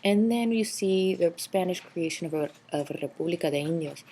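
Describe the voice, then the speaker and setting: A woman's voice: fairly low pitch, moderate pace, dark tone, slight background noise. A woman teacher narrating a slideshow review lecture, explaining steadily with frequent ums and uhs. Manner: explanatory, filler-heavy with frequent um and uh. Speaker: history teacher.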